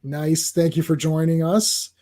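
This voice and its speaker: A man's voice: fairly high pitch, little pitch movement, a little slow, dark tone. A man hosting a casual comics livestream, chatting through a comic and greeting viewers in the chat.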